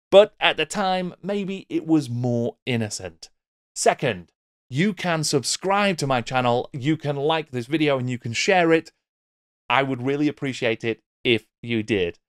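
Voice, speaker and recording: British man, middle-aged, scripted narration, theatrical emphasis, wry, medium pitch, close desk microphone. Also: clear, resonant tone, no echo. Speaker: YouTube host. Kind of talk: scripted video essay narration. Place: small book-lined home room. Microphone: close desk microphone with pop filter.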